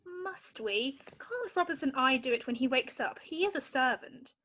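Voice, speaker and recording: A woman's voice: sits high, expressive, moderate pace, pushed and bright, heavily acted. A woman playing a prim, haughty, reluctant young girl in a musical play read-through.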